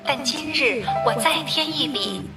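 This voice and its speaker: High, stately opera performer. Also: female voice, lively intonation, unhurried, loud music behind the voice. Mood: stately, dramatic. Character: graceful female opera performer singing a heroic tale. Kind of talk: Chinese opera singing in a video game.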